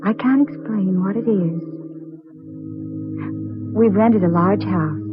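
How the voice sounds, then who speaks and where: An actress playing a devoted, anxious young woman in love, speaking earnestly and emotionally in a 1940s radio drama. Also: natural voice. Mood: wistful, content. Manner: emotional, dramatic, with halting pauses. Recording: muffled, very low-fidelity old radio, faint music.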